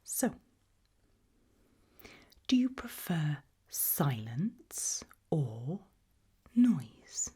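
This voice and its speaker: A British woman doing an ASMR roleplay in a breathy whisper, putting soft questions to the listener with deliberate pauses. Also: deep voice, sing-song. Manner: slow, deliberate, with long pauses.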